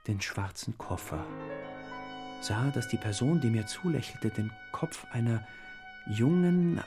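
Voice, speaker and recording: A captivated, brooding man narrating in a radio drama. He speaks very deliberately in short, intense phrases, with fry in his medium-pitched voice. Music plays clearly behind him. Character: brooding male motorist narrator, captivated and yearning. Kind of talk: literary radio drama monologue.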